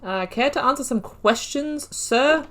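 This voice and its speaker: A woman's voice: sits high, animated, a little slow, slight background noise. Chatty woman narrating a game playthrough. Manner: chatty, giggly, muttering asides. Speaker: gaming YouTuber. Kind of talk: casual let's-play commentary.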